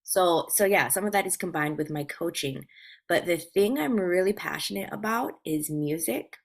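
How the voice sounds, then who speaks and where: A woman's voice: fairly low pitch, expressive, moderate pace, creaky. A woman from Hawaii, around her thirties or forties, speaking as a guest in a reflective, informal, conversational way. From Hawaii, USA. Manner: reflective, informal, uses "like" often. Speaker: guest, life coach and former therapist. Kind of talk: casual personal storytelling.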